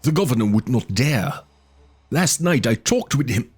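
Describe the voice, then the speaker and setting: A man's voice: medium pitch, expressive, normal speed, a little mellow, husky, voice pushed up. A man reading aloud and voicing a blunt, fierce barbarian warrior who roars and bellows at a crowd. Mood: roaring exasperated. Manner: roaring, bellowing commands.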